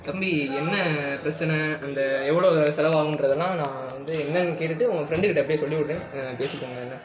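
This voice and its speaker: A man's voice: fairly high pitch, fast talker, crowd noise, close to an everyday voice. A man playing a casually authoritative hardware engineer talking to a customer on the phone. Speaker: prank caller. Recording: very echoey room, music behind.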